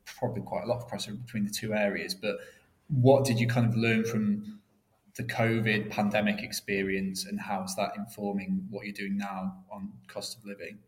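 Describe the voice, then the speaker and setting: A man's voice: on the low side, flat delivery, moderate pace. A man interviewing a guest, asking polite and hesitant questions.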